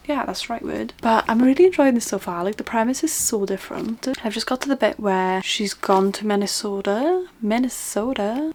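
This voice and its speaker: A young woman with a Welsh accent, chatting casually and gushingly about books in a reading vlog. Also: mid-range voice. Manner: gushing and rambling, quick excited asides. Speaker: book vlogger.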